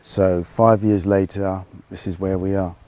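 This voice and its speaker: A British man who runs a fish farm, answering interview questions in a relaxed, plain-spoken way, outdoors on the farm. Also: sits low, unhurried. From United Kingdom. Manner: relaxed, plain-spoken, explains step by step with fillers. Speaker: fish farmer.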